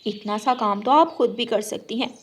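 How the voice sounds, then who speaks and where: A woman's voice: on the high side, moderate pace, lightly acted. A woman voicing a spoiled, demanding young female bird who orders everyone around in a cartoon. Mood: rude. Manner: rude, demanding.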